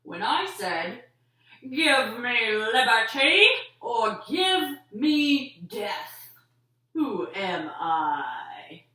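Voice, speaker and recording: A woman voicing a fiery male Revolutionary War orator for a children's puppet show, declaiming theatrically with drawn-out phrases. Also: high voice, pushed and bright, pitched above the performer's own voice, full character voice. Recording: roomy echo, distant mic.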